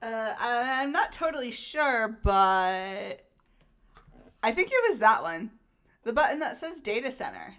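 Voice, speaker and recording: A woman's voice: fairly high pitch, sing-song, unhurried, fairly bright tone. A woman voicing a bubbly, naive and easily spooked teenage schoolgirl in casual, slangy visual novel game dialogue. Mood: curious. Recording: music behind the voice.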